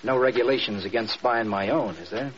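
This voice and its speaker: An actor playing a surly, defiant air force major in an old-time radio drama. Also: male voice, medium pitch, a little fast, natural voice. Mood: sullen, defiant. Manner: terse, insolent. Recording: dull, noisy old radio recording.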